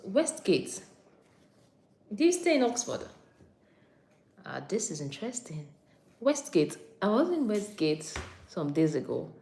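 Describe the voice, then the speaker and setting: A woman's voice: fairly low pitch, mellow. A woman from Nigeria, an anesthetics and recovery practitioner, chatting casually on a live stream and answering viewer questions, often repeating herself and reading out comments. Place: on a break during night duty.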